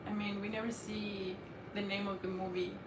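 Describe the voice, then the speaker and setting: A woman's voice: mid-range voice, flat delivery, normal speed. A young woman with a non-native accent, chatting shyly and laughing often as co-host of a livestream. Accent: non-native English accent. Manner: laughs often, shy and brief. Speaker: co-host, partner of the host. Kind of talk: casual livestream chat. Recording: echoey room with noticeable noise.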